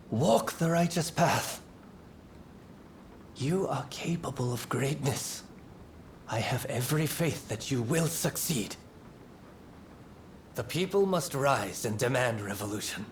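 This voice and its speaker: A man acts a principled revolutionary mentor for a video game. He speaks slowly and formally, with solemn conviction in a medium-pitched voice. A faint rumble sits in the background. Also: lightly acted. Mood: solemn encouragement. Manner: formal, grave, oratorical. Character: solemn, principled revolutionary teacher resigned to martyrdom. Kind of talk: video game cutscene acting.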